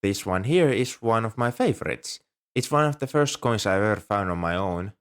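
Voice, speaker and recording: A man's voice: lowish voice, lively intonation, moderate pace, smooth, natural voice. A man playing an ancient, polite, nerdy dragon who collects coins, speaking earnestly and a little primly to the listener in an ASMR roleplay. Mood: enthusiastic, explaining. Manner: polite, earnest, slightly prim and scholarly. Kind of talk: ASMR roleplay, one-sided dialogue with the listener.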